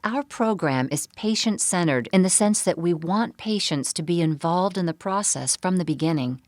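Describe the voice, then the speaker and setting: A woman giving a scripted, measured explanatory narration for a medical seminar. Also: on the low side, animated, dry recording, studio quality. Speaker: presenter of a bariatric surgery program.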